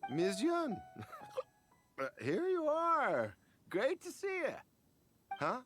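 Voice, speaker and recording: An actor playing a cheeky, overfamiliar, excitable man in a video game, chatty and effusive. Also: extremely high pitch, takes it slowly, faint music behind, full character voice. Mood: excited, fawning. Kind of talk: video game character voice acting.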